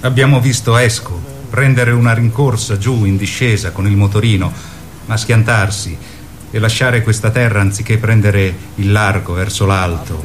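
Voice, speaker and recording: A man's voice: fairly low pitch, steady tone, moderate pace, a little hiss or hum. A man reading theatrical scripted narration in a radio play.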